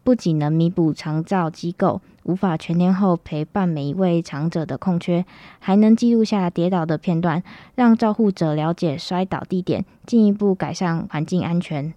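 A woman's voice: on the low side, normal speed, no room sound, highs cut off. A woman campus radio reporter with a Taiwanese Mandarin accent, reading a scripted news report. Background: music.

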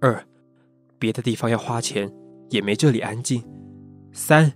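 An actor playing a cool, sharp-tongued loner of a high-school boy in an audio drama, curt, grumbling and reserved. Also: a little slow, soft background music, natural voice. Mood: aloof. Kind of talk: Mandarin audio drama acting.

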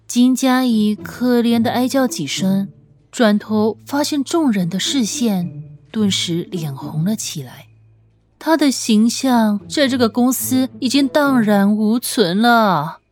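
A woman reading scripted fiction as an audiobook narrator, with an expressive, measured, dramatic storytelling delivery. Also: fairly high pitch. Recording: music under the voice. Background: background music.

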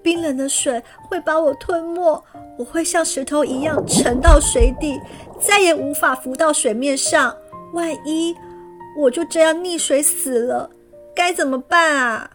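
A woman reading a storybook aloud and voicing a timid young schoolgirl who is afraid of water, with a wide-eyed, exclaiming manner. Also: measured pace. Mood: fearful. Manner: wide-eyed, exclaiming, higher and brighter than the narration. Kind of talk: storybook character voice. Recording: faint music underneath.

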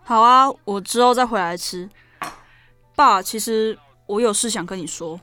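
A woman's voice: medium pitch, normal speed, sharp tone, soft background music, natural voice. A woman playing an anxious, sincere young daughter in an audio drama, with a Taiwanese Mandarin accent and a pleading manner. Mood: gentle. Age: young adult. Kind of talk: audio drama acting with reflective narration.

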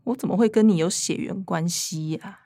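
An actress playing an earnest, fussy young younger sister in a radio drama, with a Taiwanese Mandarin accent. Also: female voice, fairly low pitch, normal speed, warm tone, no room sound, studio quality. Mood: annoyed, objecting.

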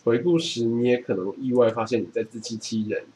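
A man's voice: mid-range voice, a little fast, mellow, a little hiss or hum, rough recording. A man, reading from a book and explaining it in a casual chat. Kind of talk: reading a book aloud and chatting about it.

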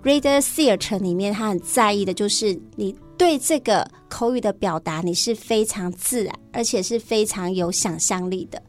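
A woman's voice: mid-range voice, moderate pace, few pauses, a little mellow, faint music behind. A woman with a Taiwanese Mandarin accent, explaining things conversationally as a teacher guest in a radio interview.

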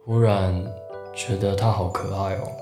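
Guy acting a young taiwanese boyfriend, flustered n sulky but sweet, audio drama w soft music under. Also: low voice, fairly even intonation, measured pace, reverberant. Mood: tender, reflective. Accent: Taiwanese Mandarin.

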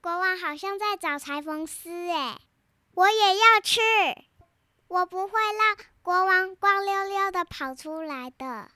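A brave, earnest little girl, acted by a child in a storybook drama. The voice is extremely high and bright, reciting each line slowly and carefully. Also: no echo, studio quality, exaggerated voice. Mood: excited, determined.

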